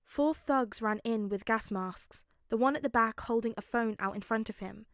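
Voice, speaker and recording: Female podfic narrator, higher register, scripted storytelling, very little room sound. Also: moderate pace, no echo, clean studio sound.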